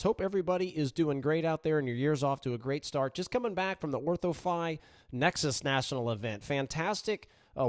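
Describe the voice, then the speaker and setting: Slightly raspy upbeat male podcast host. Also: on the high side, no echo, clean studio sound. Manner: upbeat, energetic, lots of shout-outs. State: recovering from voice loss. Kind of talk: casual podcast monologue.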